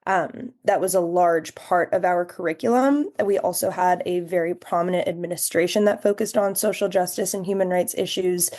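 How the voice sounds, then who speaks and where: A woman's voice: on the low side, moderate pace, hardly any pauses, darkish tone. A young adult woman, a podcast guest, giving earnest, reflective answers in long flowing sentences. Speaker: podcast guest, human rights education fellow.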